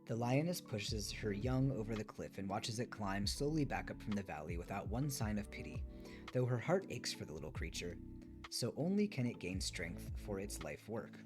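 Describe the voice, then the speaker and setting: A man reading a memoir aloud on a stream in a measured, careful storytelling delivery. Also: fairly low pitch, animated. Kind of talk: live read-aloud of a memoir, with casual asides. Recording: music behind the voice. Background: guitar music.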